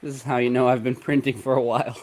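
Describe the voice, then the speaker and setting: A congested man hosting a livestream, chatting in a relaxed, rambling way while he works, chuckling often. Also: medium pitch, fast. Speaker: 3D printing livestream host. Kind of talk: casual livestream talk while working.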